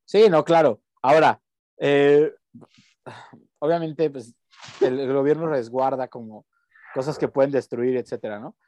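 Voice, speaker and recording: A man's voice: mid-range voice, fairly even intonation, unhurried, long pauses, darkish tone, no room sound. A young man with a Mexican accent, co-hosting a podcast in slangy, rambling casual chat. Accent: Mexican Spanish. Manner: slangy, rambling, breaks into bits of song. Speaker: podcast co-host.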